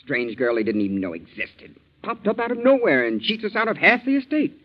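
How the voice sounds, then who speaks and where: A man's voice: fairly high pitch, huge pitch swings, brisk. An actor playing a greedy, sly young man resentfully scheming, in a 1940s radio drama. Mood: indignant. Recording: dull, scratchy 1940s radio broadcast.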